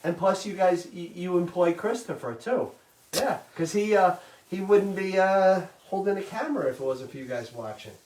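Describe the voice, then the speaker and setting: A middle-aged man, hosting a live virtual cooking class and chatting loudly and warmly to his viewers. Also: high-pitched, lively intonation, moderate pace, roomy sound, far from the mic, a little hiss or hum, rough recording. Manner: loud, chatty, talks straight to viewers.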